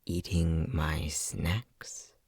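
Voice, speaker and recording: A man's voice: very deep voice, steady tone, very slow, darkish tone, husky, heavily acted. A man playing an unhinged, bossy scientist who teases and condescends in a sardonic ASMR roleplay. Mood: weary, bossy. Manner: sardonic, teasing, condescending, with frequent sighs.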